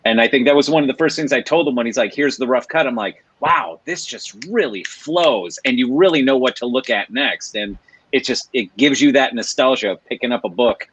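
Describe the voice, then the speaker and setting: A man's voice: medium pitch, animated, a little fast, fairly bright tone, slightly rough. A man chatting casually and appreciatively as a guest. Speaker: cast guest. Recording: dry, dull lo-fi connection.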